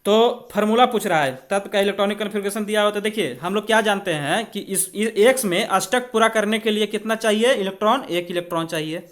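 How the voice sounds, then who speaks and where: A man's voice: sits high, fast talker, few pauses, fairly bright tone. An Indian man tutoring exam students in a conversational way, often asking rhetorical questions as he works step by step. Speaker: exam coaching teacher. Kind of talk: spoken maths and chemistry tutorial.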